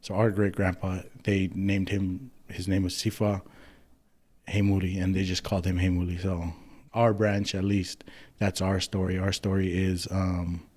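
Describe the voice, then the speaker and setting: A podcast guest from Hawaii tells his family's story in an easygoing, meandering way, full of pauses and "so"s. His low voice stays level and keeps the same pitch throughout. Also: flat delivery, a little mellow. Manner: easygoing, rambling, with frequent um and so. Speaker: podcast guest, clothing company owner. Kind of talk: casual storytelling about family history.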